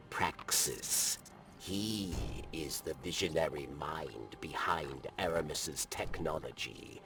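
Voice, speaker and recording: A croaking old alien informant from a video game, extremely low and very slow. He is wary and cryptic, halting between phrases, and sounds set back from the mic under music. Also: exaggerated voice. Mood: guardedly hopeful. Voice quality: gravelly, croaking. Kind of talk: video game story dialogue. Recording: distant voice over game music.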